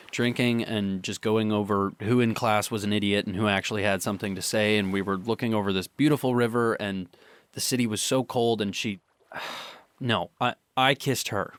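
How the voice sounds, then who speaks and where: A man's voice: lowish voice, lightly acted. A man playing a guilt-ridden young adult confessing to his girlfriend in a hesitant, earnest radio drama monologue.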